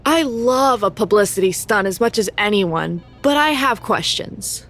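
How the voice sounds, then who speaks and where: A sarcastic young wrestler. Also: female voice, medium pitch, expressive, measured pace, fairly bright tone, faint music behind. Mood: wry. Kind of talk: video game cutscene acting.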